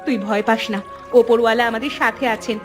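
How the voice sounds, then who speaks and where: A determined village daughter-in-law from a cartoon, played by a woman, with a high-pitched voice. She speaks earnestly and firmly, like someone done with being mistreated. Faint music plays behind her. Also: fairly even intonation, fast talker, lightly acted. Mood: firm reassurance. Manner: earnest, resolute. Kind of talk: cartoon voice acting.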